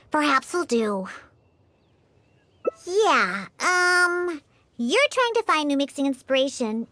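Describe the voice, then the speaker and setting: A woman's voice: high voice, sharp tone, dry recording, exaggerated voice. A woman voicing a proud, prickly little cat-girl bartender in a video game, with a haughty, cutesy, huffy manner. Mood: grudging. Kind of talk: scripted video game character acting. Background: background music.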